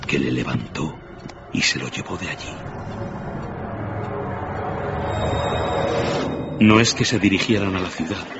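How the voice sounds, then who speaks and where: Deep male narrator telling a ghost tale, measured and dramatic, music underneath. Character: grave storyteller of a ghost tale. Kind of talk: scripted radio drama narration. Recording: dull radio recording, music behind.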